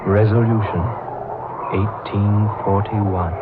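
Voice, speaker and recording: A man announcing a 1940s radio show in an ominous, drawn-out manner. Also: fairly low pitch, fairly even intonation, very noisy. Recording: tinny old radio recording with music.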